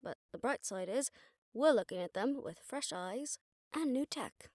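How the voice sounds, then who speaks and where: A woman's voice: a little high, lively intonation, unhurried, darkish tone, dry recording. Wry witch investigator, played by a woman, dry close sound. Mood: resolute, practical. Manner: sardonic, conversational. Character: wry, jaded young witch investigator who sees death visions. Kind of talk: roleplay audio drama, spoken to the listener as a partner.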